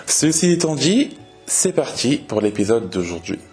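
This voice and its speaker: A young man from France in his twenties hosts a podcast, talking slowly and clearly for learners in a relaxed, steady way with frequent hesitations. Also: medium pitch, faint music behind. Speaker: podcast host.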